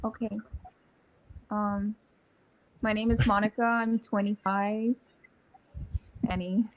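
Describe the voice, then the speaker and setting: A young woman in her twenties joins a podcast panel over muffled, dull remote audio. She talks very slowly. She speaks quietly and softly. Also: mid-range voice, flat delivery. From New York. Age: 20s. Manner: quiet, slow and soft-spoken. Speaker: panel guest.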